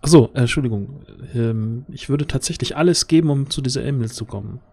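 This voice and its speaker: A man describes his moves in an online tabletop game. He talks casually and hesitantly at an average pitch, feeling his way through each sentence on a headset. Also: brisk, vocal fry, a little mellow. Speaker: tabletop role-play player. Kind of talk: improvised casual role-play over video call. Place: indoor room, video call.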